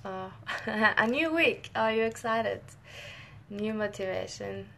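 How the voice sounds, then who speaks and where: A woman's voice: mid-range voice, takes it slowly, creaky, fairly bright tone, slight background noise. A young woman from northern Italy, a scientist who speaks Italian natively, chatting casually with viewers in a live Q&A and often restarting her sentences. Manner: friendly, chatty, many restarts and short laughs. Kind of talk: casual live-stream chat.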